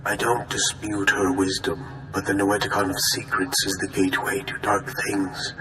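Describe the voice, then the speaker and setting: An actor playing a stern, wary male Jedi master in a video game, speaking gravely and with caution. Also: deep voice, monotone, moderate pace, bright, forceful tone. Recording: echoing, music behind.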